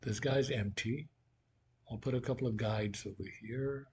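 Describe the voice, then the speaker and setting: A man's voice: mid-range voice, measured pace, darkish tone, breathy edge. A man teaching in an informal, conversational way, talking through a worked physics problem as if thinking aloud.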